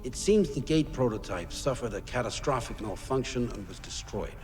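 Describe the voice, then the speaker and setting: A man's voice: medium pitch, animated, normal speed, music in the background, close to an everyday voice. A man playing a composed, deferential corporate subordinate in a video game, reporting in a formal, businesslike way with long reverb. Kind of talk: scripted video game dialogue.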